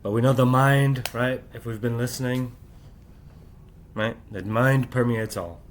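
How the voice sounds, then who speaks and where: A man's voice: medium pitch, steady tone, measured pace, a little hiss or hum. A middle-aged man talking alone at night, rambling and asking "right?" after nearly every thought, keeping his voice down so he won't wake his family. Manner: rambling, repeats "right?" often; keeps voice down while family sleeps. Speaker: channel host. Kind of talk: late-night monologue to camera.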